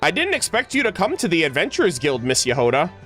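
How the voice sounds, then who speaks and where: A man's voice: a little high, wildly swooping pitch, quick pace, pushed and bright, faint music behind, full character voice. Man reading as a courteous guild master, lightish voice. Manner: courteous, formal. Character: polite, unruffled guild branch master in fantasy game.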